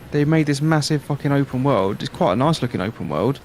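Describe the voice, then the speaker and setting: A man's voice: mid-range voice, expressive, fairly quick, darkish tone, a little hiss or hum. A man co-hosting a casual gaming livestream, commenting in a blunt, sweary way. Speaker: game streamer co-host.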